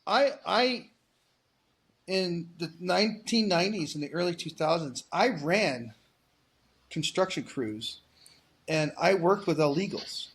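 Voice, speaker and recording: A man's voice: a little high, lively intonation, a little slow, pauses often, slight background noise. A man in his forties co-hosting a casual podcast, blunt and profane, rambling with frequent restarts. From United States. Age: 40s. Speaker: podcast co-host. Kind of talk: casual political chat.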